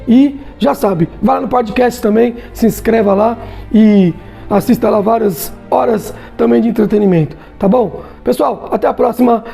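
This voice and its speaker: A man's voice: extremely high pitch, normal speed, creaky, warm tone, soft background music. A Brazilian man giving a casual comic book review, chatty and tagging his sentences with little check-ins. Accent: Brazilian Portuguese.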